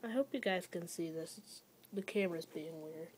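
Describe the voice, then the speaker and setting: A young woman hosting a casual building tutorial from a small dim room at home, talking hesitantly with many fillers and pauses, her voice often trailing off quietly. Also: lowish voice, slight background noise. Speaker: toy-building tutorial host. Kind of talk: casual how-to narration.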